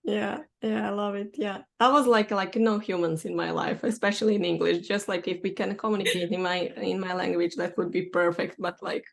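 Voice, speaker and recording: A young woman from Bosnia hosting a podcast chat in English with a Bosnian accent, speaking haltingly with many fillers and restarts. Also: medium pitch, fairly even intonation, gravelly. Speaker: podcast host. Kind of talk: casual online chat.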